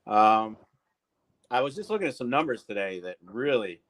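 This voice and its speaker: A man's voice: medium pitch, sing-song, moderate pace, fairly bright tone. A man co-hosting a casual livestream, talking candidly and rambling a little while he greets viewers by name. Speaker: livestream co-host.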